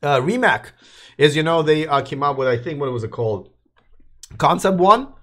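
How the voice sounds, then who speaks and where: Male live-stream host, voice fading hoarse, chatty and rambling, talks to his chat. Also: on the high side, animated, moderate pace, pushed and bright. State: losing his voice, coughing. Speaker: host of a live electric car news show. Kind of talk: casual live-stream commentary.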